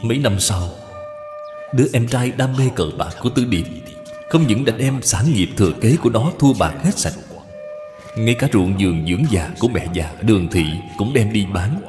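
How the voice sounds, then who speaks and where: A man's voice: on the low side, animated, moderate pace, darkish tone. A man reading scripted story narration as the narrator. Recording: long reverb, music behind.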